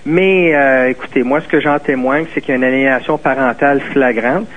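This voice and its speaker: A man calls in to a radio show and speaks. He hesitates and restarts often, and his voice comes through a tinny, narrow phone line at a middle pitch. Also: fairly quick. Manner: hesitant, many fillers and restarts. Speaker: caller. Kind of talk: call-in radio talk.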